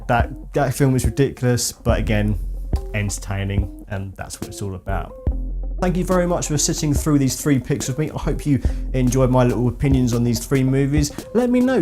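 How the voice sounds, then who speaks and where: A man with a medium-pitched voice talks as the host of a gaming channel. He shares opinions in a loose, informal and meandering way, often rephrasing as he goes. Guitar music plays clearly behind his voice. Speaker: video game channel host. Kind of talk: casual opinion vlog, rambling chat. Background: background music with guitar.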